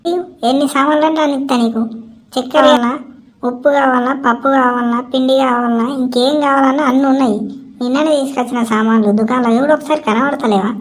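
Quick, insistent village shopkeeper played by a woman. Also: high voice, steady tone, close to an everyday voice. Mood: firm, insistent. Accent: Telangana Telugu. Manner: insistent, scolding, repetitive. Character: exasperated, no-nonsense village shopkeeper demanding overdue payment. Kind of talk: village comedy cartoon dialogue.

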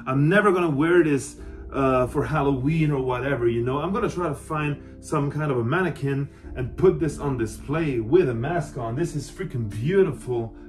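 A man's voice: mid-range voice, moderate pace, faint music behind. A man in his thirties giving a casual, enthusiastic review to camera at home, informal in his way of talking. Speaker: collector presenting his costume collection. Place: small cluttered room at home, indoors.

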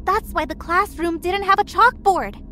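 A woman's voice: very high voice, normal speed, pushed and bright. A woman playing a young student who speaks bluntly and sarcastically. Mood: sarcastic. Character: sharp-tongued young woman student, unafraid.

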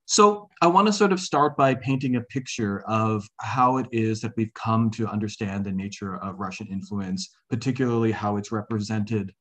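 A man's voice: lowish voice, moderate pace, hardly any pauses, fairly bright tone. A middle-aged man giving an academic presentation over a video call, with many fillers and restarts. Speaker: guest speaker, associate professor. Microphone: video call webcam.

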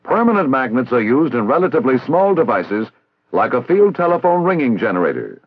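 Male narrator, American, for vintage 1960s instructional film; formal and didactic, husky edge, very dry lo-fi sound. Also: medium pitch, sing-song, fairly quick, dry recording. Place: recorded voice-over.

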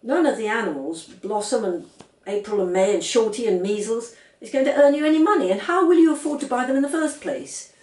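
Woman reading as a patient father, lively pitch. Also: a little fast, reverberant, far from the mic, lightly acted, poor audio. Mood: patient, doubtful. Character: doubtful, patient, teasing father of young children.

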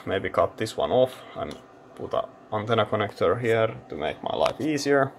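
A man's voice: low voice, vocal fry, slight background noise. A man giving casual hands-on tech commentary, thinking aloud informally as he hosts a teardown video. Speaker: host of electronics teardown channel.

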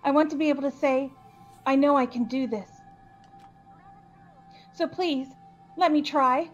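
A woman voicing a gentle, timid but determined boyish AI program in a game fan dub, earnest and hesitant. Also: sits high, fairly even intonation, a little slow. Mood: pleading. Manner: hesitant, earnest, soft-spoken pleading. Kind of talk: visual novel fan dub voice acting. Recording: slightly dull, band-limited, music behind.

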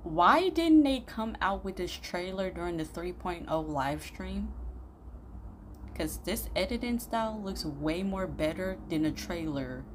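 A young woman with a low voice hosts a casual reaction video. Her delivery is blunt and full of slang. Clear noise sits behind her voice in the phone recording. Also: lively intonation, a little slow. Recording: clearly noisy room recording.

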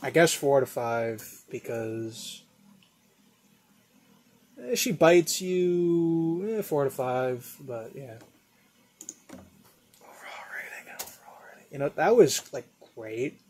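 A man's voice: medium pitch, animated, slow, long pauses, darkish tone, breathy edge. Rambling young man reacting casually. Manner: rambling, repeats "yo" and "dude", trails into mumbles. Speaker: reaction video host. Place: home room with a flag on the wall.

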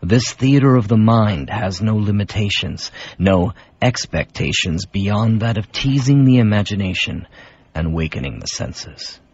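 Low, husky male radio host. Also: animated, measured pace, muffled recording. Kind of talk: scripted introduction.

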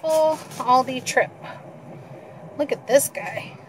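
A young woman with a slightly high voice chats unhurriedly through her grocery haul. She talks eagerly about the food she likes, recorded on a handheld camera with noticeable noise behind her. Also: takes it slowly. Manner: chatty, enthusiastic about food. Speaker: vlogger. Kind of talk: casual grocery haul vlog. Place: parked car, then kitchen.

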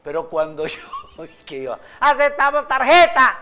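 A man's voice: very high-pitched, sing-song, normal speed, pushed and bright. A man in his sixties hosting a TV show from a studio, reading news, then joking his way through ad reads. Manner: jokey, bursts into laughter during ad reads. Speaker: TV host. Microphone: studio mic.

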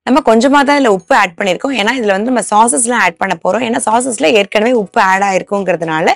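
A woman's voice: medium pitch, fast talker, creaky, no echo. A woman in her thirties hosting a cooking show, explaining each step plainly from a studio kitchen.

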